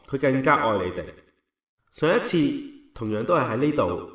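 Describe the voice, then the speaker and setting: A man's voice: medium pitch, natural voice. Echoey, earnest Cantonese male evangelist, voiced by an actor. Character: earnest limbless male evangelist addressing a congregation. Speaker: preacher giving testimony. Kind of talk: sermon in a radio drama. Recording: echoey hall, distant from mic.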